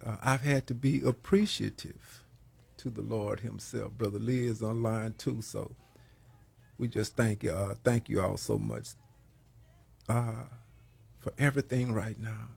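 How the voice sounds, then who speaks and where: A hoarse man leading a prayer and preaching on a program, slow and halting, with many pauses. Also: on the low side, steady tone, no room sound. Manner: grows louder and more emphatic while preaching. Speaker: pastor hosting a prayer program. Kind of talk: prayer and sermon talk.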